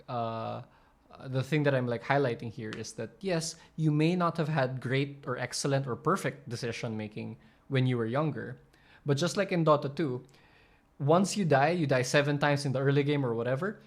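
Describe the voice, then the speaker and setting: A man talking through a clip in a loose, unscripted way, stopping and restarting mid-sentence, filling gaps with "um" and "like". his pitch is middling, neither high nor low. he sounds like he is thinking out loud as he goes. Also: moderate pace. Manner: rambling, many fillers and restarts. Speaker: channel host explaining coaching. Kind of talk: casual commentary over a video.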